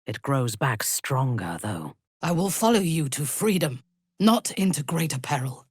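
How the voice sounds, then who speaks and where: A haughty, dangerous warrior woman from a fantasy video game. Her voice is husky and breathy, recorded very dry and close. She speaks with imperious disdain and wide, expressive swings of pitch, every formal phrase carrying cold menace. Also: measured pace, no room sound, full character voice. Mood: scornful, measured. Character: haughty, menacing female warrior and former cultist, cold and commanding. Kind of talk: video game character voice lines.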